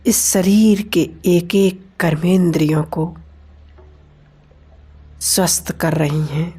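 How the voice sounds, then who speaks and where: A woman leading a guided meditation in deliberate phrases with pauses between them. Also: fairly low pitch, fairly even intonation, mellow, slightly rough. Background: meditation music.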